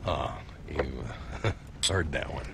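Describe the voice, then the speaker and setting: An actor playing a tired frontier town marshal in a western game, speaking dryly with wry humor. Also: male voice, a bass voice, takes it slowly, creaky, noisy background, exaggerated voice.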